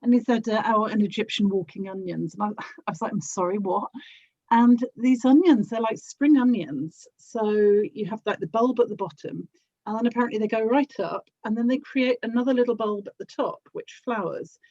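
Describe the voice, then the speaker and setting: A woman chatting casually as a podcast guest, with a gentle, giggly way of talking. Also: fairly high pitch, normal speed, no echo, highs cut off. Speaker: podcast guest, audio drama producer. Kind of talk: casual chat.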